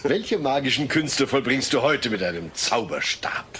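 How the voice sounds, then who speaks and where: A man's voice: medium pitch, very animated, normal speed, lightly acted. An actor playing a patient, middle-aged family father in a comedic radio play, polite and dryly ironic. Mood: dry, resigned. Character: dry, patient, long-suffering suburban family father. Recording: noisy old cassette transfer.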